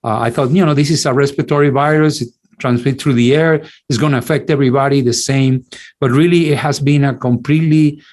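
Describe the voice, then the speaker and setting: Middle-aged man, mid-pitched, halting academic lecturer. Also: fairly even intonation, a little mellow. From Cuba. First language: Spanish. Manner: halting, many restarts and repeated words. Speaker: infectious disease physician, lecturer. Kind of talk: online lecture.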